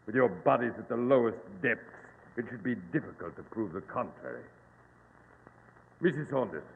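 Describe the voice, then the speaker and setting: A haughty, menacing pirate villain in a vintage radio play. His gravelly voice is pompous and mock-gentle. The old recording is narrow and reverberant, with clear noise. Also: mid-range voice, animated, moderate pace. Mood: cold menace. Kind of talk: 1940s radio acting.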